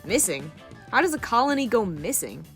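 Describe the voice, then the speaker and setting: A woman's voice: on the high side, very animated, normal speed, faint music behind. A woman playing a sardonic, crude, teasing rogue of a space crew in an audio drama, with a sarcastic, needling delivery.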